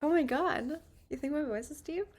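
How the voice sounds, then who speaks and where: Chatty young woman, a bit high. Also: normal speed, a little mellow. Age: 20s. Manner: rambling, many fillers, chatty. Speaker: livestreamer. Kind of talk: casual chat while doing makeup.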